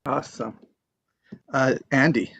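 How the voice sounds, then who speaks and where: Laid-back host guy, bit of creaky fry in his voice, chatting casually. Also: medium pitch, steady tone, a little slow, a bit bright, highs cut off. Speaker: host introducing table read cast. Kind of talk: casual live introductions.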